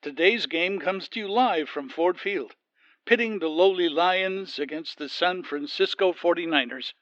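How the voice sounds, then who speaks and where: A man voicing an excitable play-by-play football announcer in an audio comedy. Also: high-pitched, measured pace, dry recording, dull audio. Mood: booming, wry. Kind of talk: sports commentary in an audio comedy.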